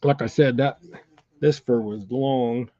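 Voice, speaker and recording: Host, male, congested, laid-back chatty stream voice, mid-pitched, dry lo-fi home recording. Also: slow, dry recording. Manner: relaxed, chatty, greets viewers by name. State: congested, coughing. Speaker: fishing channel host tying jigs. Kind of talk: casual live-stream chat while tying. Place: home room with a TV nearby. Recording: dull, slightly lo-fi dry sound.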